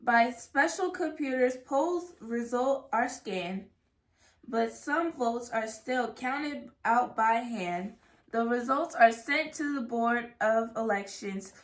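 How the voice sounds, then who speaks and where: A woman reading a rhyming children's picture book aloud in a measured, careful way. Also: a little high. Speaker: read-aloud reader. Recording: echoey room.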